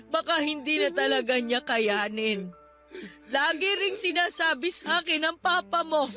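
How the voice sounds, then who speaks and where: A woman's voice: high voice, sharp tone. A woman acting as a grieving nanny in a radio drama, scolding bitterly and tearfully.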